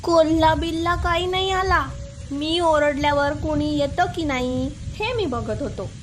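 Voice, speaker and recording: A woman's voice: high-pitched, measured pace, a little hiss or hum. A woman voicing a mischievous, playful young shepherd boy in a children's story. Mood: teasing. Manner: shouting alarms, teasing villagers.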